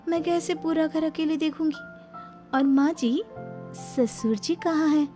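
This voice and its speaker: A woman's voice: high voice, measured pace, dark tone, soft background music, pitched above the performer's own voice. A woman voicing a young snake-woman bride in a cartoon story, falsely sweet and vengeful. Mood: plaintive. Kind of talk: Hindi cartoon story acting.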